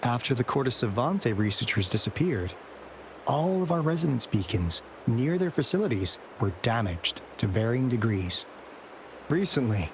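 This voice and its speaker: Playful, theatrical young man, very animated. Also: medium pitch, noisy background. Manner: dramatic storytelling, teasing. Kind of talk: video game character dialogue.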